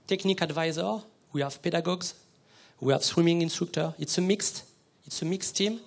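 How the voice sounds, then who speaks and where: A man presenting a research project on a conference panel, speaking as a with halting phrasing and many repeated words. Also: a little high, gravelly.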